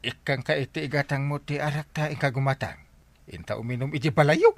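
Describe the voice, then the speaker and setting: A man acting in a Filipino radio comedy as an excitable, argumentative, blustery village captain with an Ilocano accent, hemming and chuckling as he talks. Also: medium pitch, a little fast, lightly acted. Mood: blustery, joking. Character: Enteng, blustery comic village captain fond of drink.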